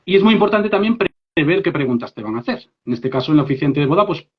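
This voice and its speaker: A man from Spain with a Spanish accent and a fairly high voice, leading a live online workshop as an English coach. He talks in a lively, direct way, checking in with his listeners often. The audio is dull and lo-fi, like a livestream. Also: fast. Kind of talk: informal live workshop talk. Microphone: phone or laptop mic on a live stream.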